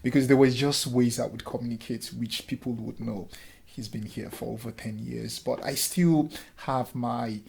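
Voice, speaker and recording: Give me an earnest Nigerian man in his thirties, with a slightly husky voice. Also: medium pitch, steady tone, moderate pace, darkish tone. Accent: Nigerian with a light Scottish touch. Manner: earnest, many ums and restarts. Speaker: interviewee, lecturer and former councillor. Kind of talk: reflective interview answers.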